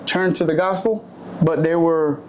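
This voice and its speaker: A man's voice: a little high, fairly even intonation, unhurried, noisy background. A young man raised in Puerto Rico, a barber and shop owner, telling his life story in a candid, rambling way and correcting himself as he goes. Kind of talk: casual interview storytelling. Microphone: handheld or shared mic.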